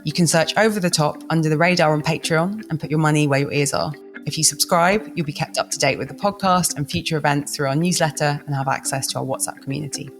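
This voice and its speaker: A woman co-host reading a friendly, measured scripted appeal for her podcast. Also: sits low, soft background music.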